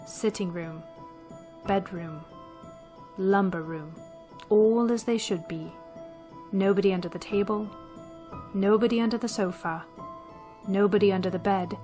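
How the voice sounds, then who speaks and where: A woman's voice: mid-range voice, a little mellow, natural voice. A woman giving scripted storybook narration for a radio drama.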